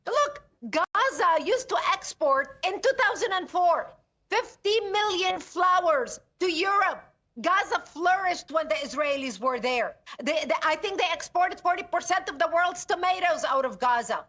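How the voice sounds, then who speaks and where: A woman from Lebanon, a guest analyst in a news interview, speaking with forceful indignation and emphatic repetition. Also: extremely high pitch, animated, unhurried, highs cut off.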